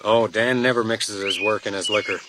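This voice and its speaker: An actor playing a terse, dry hotel detective and war veteran who keeps to himself, in a radio drama. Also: male voice, fairly low pitch, fairly even intonation, moderate pace, noisy background, lightly acted. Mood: reserved.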